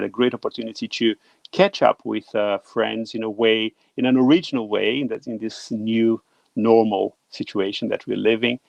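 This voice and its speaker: A man's voice: medium pitch, gravelly, no room sound. A middle-aged Brazilian man with a Brazilian Portuguese accent, hosting a live stream in English in a casual, friendly way with many fillers. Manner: friendly, hesitant with fillers, reads out viewer names. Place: home room in Tokyo.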